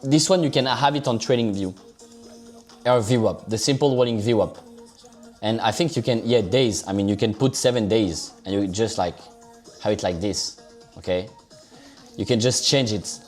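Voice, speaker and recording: A man's voice: lowish voice, moderate pace, long pauses, darkish tone, soft background music. A man with a French accent giving casual live trading commentary on a stream, loose and chatty, often saying "so basically" and checking in with "okay?". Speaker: livestream crypto trader.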